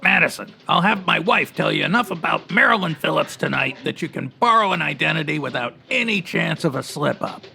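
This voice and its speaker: An actor playing a brusque, bossy city editor in a radio comedy, with clipped slangy talk and a gravelly, sharp voice. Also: male voice, a little high, animated, fairly quick, hardly any pauses, exaggerated voice. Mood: brisk, scheming. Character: brusque, excitable male city newspaper editor. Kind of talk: old-time radio newsroom comedy acting.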